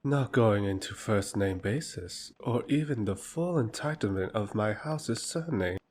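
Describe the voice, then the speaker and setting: A man's voice: fairly low pitch, lively intonation, measured pace, a little mellow. A man playing a smug, scheming aristocrat in a fantasy audio drama, pompous and mockingly courteous. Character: smug, scheming aristocratic male rival lord, honey-tongued.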